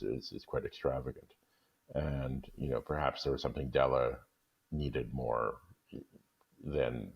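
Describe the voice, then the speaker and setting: A man, a guest reader on a radio discussion show, who talks haltingly with many restarts. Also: very deep voice, vocal fry, breathy edge, highs cut off.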